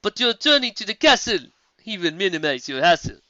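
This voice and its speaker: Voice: medium pitch, very animated, brisk, bright, forceful tone, no room sound, voice pushed up. A reader voicing a wise female zebra healer who speaks in formal rhyme, on a dry, slightly dull recording. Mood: solemn. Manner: rhyming, formal and grave.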